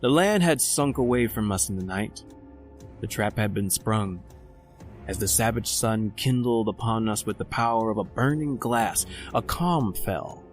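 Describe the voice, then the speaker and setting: An actor playing a cold, calculating, educated murderer in a radio horror drama, speaking formally and deliberately. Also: male voice, fairly low pitch, expressive, soft background music. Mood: grim narration. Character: cold, calculating educated murderer doctor, leader of escaped convicts. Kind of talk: old-time radio horror acting, narrating and dialogue.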